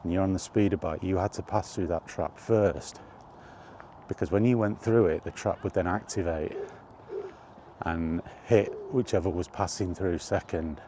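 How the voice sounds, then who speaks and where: A middle-aged man with a low, mellow voice rambles casually to his viewers. He takes his time, halting and thinking aloud in short phrases, with faint outdoor noise behind him. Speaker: video creator and host. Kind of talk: casual reminiscing monologue about retro games. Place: outdoors while walking.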